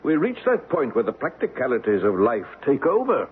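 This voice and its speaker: An actor playing a pragmatic, worldly middle-aged man of power in an old radio drama, speaking in a measured way. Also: mid-range voice, huge pitch swings, breathy edge, full character voice. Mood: resigned. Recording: muffled, faintly noisy old radio recording.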